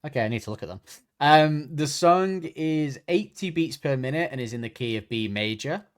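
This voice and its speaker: A man's voice: on the high side, animated, moderate pace, clear, resonant tone. A man co-hosting a podcast, chatting casually and reading facts from notes while correcting himself as he goes. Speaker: podcast co-host.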